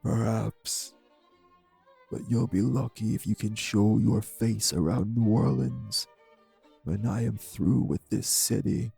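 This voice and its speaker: A man playing an arrogant, obsessive vampire villain in an audio drama, with a dark, raspy voice and grandiose, measured menace. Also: fairly low pitch, fairly even intonation, soft background music, heavily acted. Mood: menacing. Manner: grandiose, formal, measured threats. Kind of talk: dramatic audio roleplay acting.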